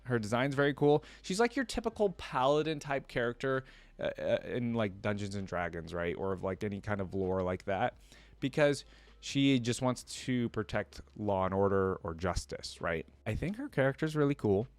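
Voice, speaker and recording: A man's voice: fairly low pitch, animated, moderate pace, no room sound. A man hosting a reaction video, reading stories aloud and adding casual commentary.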